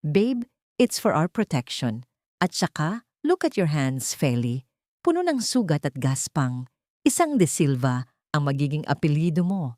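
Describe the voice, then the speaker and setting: A woman narrator puts on a selfish young male CEO from a romantic melodrama. He talks down to his listener in a cold, dismissive way. The sound is dry and close, the pitch a bit low. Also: big pitch swings, a little slow, a little mellow, no room sound. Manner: dismissive, condescending.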